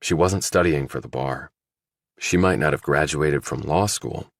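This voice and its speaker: A man's voice: very deep voice, unhurried, creaky, no room sound, clean studio sound. A man reading a scripted thriller audiobook as its narrator.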